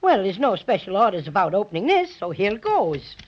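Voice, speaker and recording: Squeaky, swooping little toy bear. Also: male voice, sing-song, slight background noise. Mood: lively, delighted. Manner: brisk, exclamatory. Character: small, spirited, bossy male toy teddy bear. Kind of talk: 1930s children's radio serial acting. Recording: dull 1930s radio transcription.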